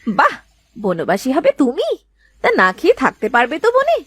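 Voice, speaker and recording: Cartoon fisherman's wife played by a woman: high husky voice, caring but scolding, fussy, coaxing. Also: huge pitch swings, normal speed, fairly bright tone, heavily acted. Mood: mock-scolding. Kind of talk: Bengali cartoon moral-story dialogue.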